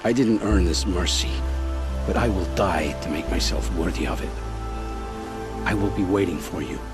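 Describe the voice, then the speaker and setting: A man's voice: sits low, lightly acted. Man playing a haunted, guilt-ridden scrounger, dramatic. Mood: pleading, humbled. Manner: grave, dramatic, halting phrasing. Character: guilt-ridden male scrounger turned reluctant spy, haunted and remorseful. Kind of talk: dramatic video game acting. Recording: music behind the voice.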